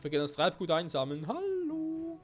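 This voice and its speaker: Guy with a stuffed nose muttering and swearing while he plays, bit high voice, faint game music behind. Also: wildly swooping pitch, moderate pace. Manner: swearing, muttering to himself, thinking aloud. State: stuffy-nosed with a cold. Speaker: let's play gamer. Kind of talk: casual gameplay commentary.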